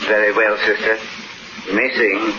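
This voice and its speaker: A man playing a solemn frontier parson, formal and measured, in an old radio Western. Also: fairly low pitch, level tone, close to an everyday voice. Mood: stern, reserved. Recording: muffled, noisy old radio recording.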